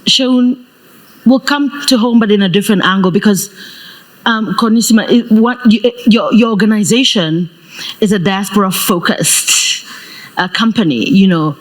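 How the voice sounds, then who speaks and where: A woman from Kenya moderating a live panel on a conference stage, talking loud and playful with frequent laughs. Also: medium pitch, moderate pace, poor audio. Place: conference stage before an audience. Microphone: handheld stage mic.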